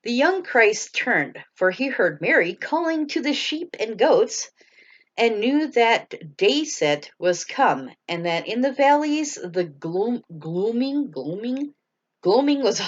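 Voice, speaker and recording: A woman's voice: fairly high pitch, very animated, slow, highs cut off. A woman reading a bedtime story aloud to her children, stumbling over hard words and laughing at herself.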